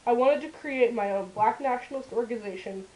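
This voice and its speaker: High-voiced woman as a firm puppet activist leader. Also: brisk, darkish tone, slight background noise, lightly acted, low-quality recording. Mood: earnest, firm. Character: puppet Malcolm X, adult male activist, firm and defiant. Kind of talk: scripted first-person narration for a school puppet show.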